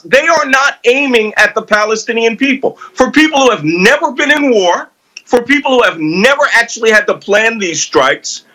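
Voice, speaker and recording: Male panel commentator, middle-aged, high bright voice, emphatic and sure of himself, argues his case. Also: low-quality recording. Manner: emphatic, argumentative, assured. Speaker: guest commentator with military experience. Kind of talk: political panel discussion.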